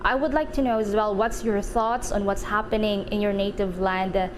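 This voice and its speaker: A woman's voice: mid-range voice, steady tone, normal speed, crowd noise. A woman co-hosting, asking formal questions. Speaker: co-host.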